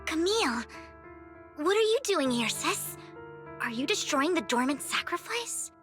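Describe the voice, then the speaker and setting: Earnest, high-voiced young game heroine. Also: female voice, big pitch swings, measured pace, a bit bright, full character voice. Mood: upset, pleading. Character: earnest, worried, sentimental young woman heroine. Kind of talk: scripted video game voice acting. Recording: game music clearly behind the voice.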